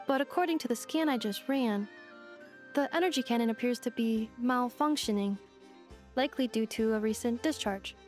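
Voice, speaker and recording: A woman voicing a polite, knowledgeable robot guide in a video game, speaking in a measured, formal and precise way. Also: a little high, a little mellow, soft background music, close to an everyday voice. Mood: composed, informative. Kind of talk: video game exposition acting.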